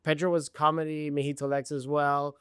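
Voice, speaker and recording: A man hosting a podcast, asking questions and reflecting in a relaxed, encouraging way. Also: on the high side, brisk, clean tone, no echo, clean studio sound. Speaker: podcast host. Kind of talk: casual interview.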